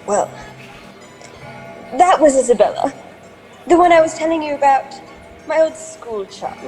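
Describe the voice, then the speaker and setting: A woman's voice: high voice, animated, measured pace, darkish tone. A woman playing an effusive, bossy social climber with an affected manner in a radio drama. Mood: gushing. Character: gushing, bossy, social-climbing married society lady. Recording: long hall reverb, music underneath.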